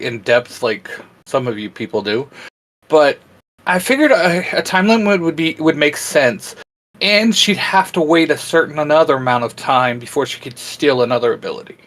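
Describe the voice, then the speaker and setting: A man's voice: a little high, a bit bright. A man co-hosting a casual, geeky group chat in a teasing, joking way. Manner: teasing, jokey. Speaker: co-host of a fan discussion show.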